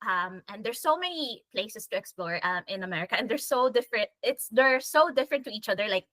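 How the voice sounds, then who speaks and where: A woman's voice: on the high side, expressive, brisk, a bit bright, no echo. A young adult woman chatting casually as a panel guest, laughing often and saying "like" a lot. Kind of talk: casual chat.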